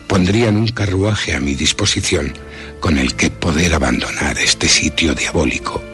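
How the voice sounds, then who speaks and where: A man's voice: extremely low pitch, fairly bright tone. A man playing a haunted narrator who solemnly recalls a monstrous attack in a radio horror drama. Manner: ornate, solemn, deliberate storytelling.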